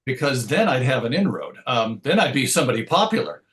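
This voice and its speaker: A middle-aged man speaks as an interview guest, looking back in an easy conversational style. His delivery is wry and self-deprecating, with a medium-pitched voice. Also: big pitch swings, brisk, a bit bright. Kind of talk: conversational interview answers, reminiscing.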